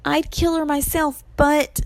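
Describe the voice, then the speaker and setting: A clever, bossy young heroine acted by a woman narrator, pitched high above a normal reading voice. She sounds urgent and insistent, in a dry storybook recording. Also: little pitch movement, measured pace, no echo.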